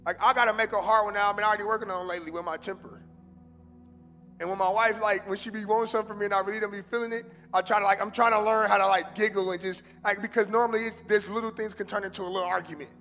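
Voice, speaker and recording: A man preaching a sermon as a pastor, mixing casual slang with fervent preaching that swings between loud urgency and hushed prayer. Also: high voice, steady tone, racing, long reverb tail, faint music behind. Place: church sanctuary.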